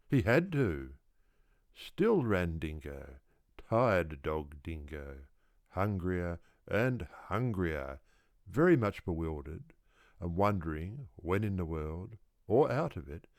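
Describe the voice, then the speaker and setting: A man reading a children's story aloud as an audiobook narrator, with deliberate, rhythmic, theatrical phrasing. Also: deep voice, no room sound, clean studio sound. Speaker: audiobook reader.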